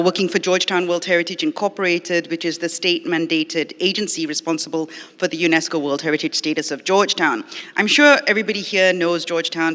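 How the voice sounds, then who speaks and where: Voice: mid-range voice, a bit bright, in a large hall. A middle-aged lecturer and arts educator from Malaysia gives an academic forum talk, partly read from notes, earnest and explanatory with frequent ums and uhs. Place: library event space at a public forum.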